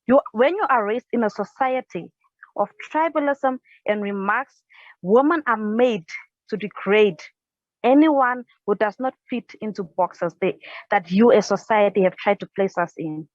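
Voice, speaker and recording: A woman's voice: mid-range voice, no room sound. Young woman reciting a spoken poem. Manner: earnest, halting, with restarts and small laughs. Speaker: poet. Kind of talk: spoken word poetry recital. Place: indoors on a couch at home. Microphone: earphone headset mic. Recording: dry, dull lo-fi sound.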